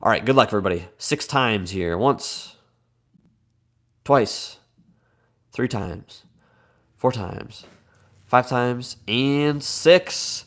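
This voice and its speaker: A man's voice: mid-range voice, expressive, takes it slowly, long pauses, fairly bright tone. A man with a Midwestern American accent hosting a live card break, slightly congested from a cold, chatty and upbeat as he reads out names and teams.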